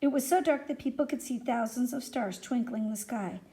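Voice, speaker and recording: Female storyteller, high voice, animated and expressive, reading a picture book to young children. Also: normal speed. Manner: animated, addresses young listeners with questions. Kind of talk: expressive read-aloud for children.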